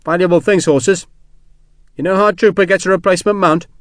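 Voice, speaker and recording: A man voicing a rough but kindly young army sergeant in an audiobook, with blunt, chatty, colloquial working-class speech. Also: high-pitched, fairly even intonation, brisk, no echo, clean studio sound, pitched above the performer's own voice, natural voice. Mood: chatty, reassuring.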